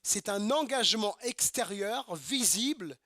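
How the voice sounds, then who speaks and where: High-pitched bright-voiced preaching man. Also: lively intonation, measured pace, no echo, clean studio sound. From Normandy, France. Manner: emphatic, repeats phrases, asks rhetorical questions. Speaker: pastor. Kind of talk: sermon. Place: church service.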